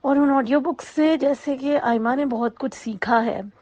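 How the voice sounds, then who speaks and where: A woman with a fairly high voice explains her answers in an interview. The sound is very dry, with a muffled lo-fi edge. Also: moderate pace, darkish tone, dry recording. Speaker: audio drama voice actor being interviewed. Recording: dull, dry lo-fi audio.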